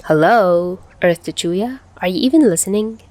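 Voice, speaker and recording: A woman voicing a cocky, teasing teenage schoolboy in an audio story, loud and animated. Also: lowish voice, normal speed, no echo, lightly acted. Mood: accusing, concerned.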